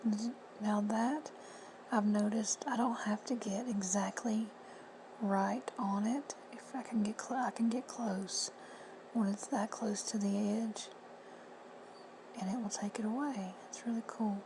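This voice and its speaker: A woman with a hoarse, husky voice giving plain, friendly step-by-step instructions in a casual how-to. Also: mid-range voice, steady tone, unhurried. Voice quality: whispery, husky. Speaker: reseller tutorial host. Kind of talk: casual screen-recorded how-to. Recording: noisy home recording.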